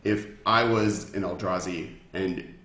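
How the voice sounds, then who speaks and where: Guy with a lowish voice explaining game lore casually, roomy echo. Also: takes it slowly, bright, forceful tone. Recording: echoey room.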